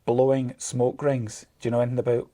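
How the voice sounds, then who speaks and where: Brief: Scottish co-host, mellow tone, relaxed, easygoing delivery. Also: male voice, medium pitch, fairly quick. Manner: easygoing, laughs often, hesitant fillers. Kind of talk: casual chat.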